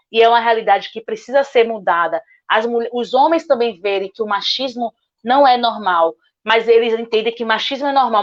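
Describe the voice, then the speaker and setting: Earnest Bahian woman on a muffled call. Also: on the high side. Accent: Bahian Portuguese. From Salvador, Bahia, Brazil. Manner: earnest, argumentative, repeats "justamente". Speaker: guest speaker, lawyer. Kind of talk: online panel Q&A answer.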